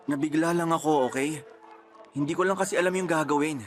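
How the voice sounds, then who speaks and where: A man's voice: a little high, a little fast, lightly acted. A man acts out a reluctant young man in a Filipino radio drama. He sounds hesitant and defensive, frightened of fatherhood, with a Filipino accent. Faint music runs under his voice. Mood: apologetic. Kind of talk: radio drama acting in Tagalog.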